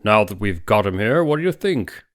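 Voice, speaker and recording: A man's voice: very animated, moderate pace, a bit bright. A man voicing a huge, domineering older doctor in an audiobook, heavy-voiced, emphatic and insistent. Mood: brisk, expectant. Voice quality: heavy, booming. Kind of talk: audiobook character dialogue.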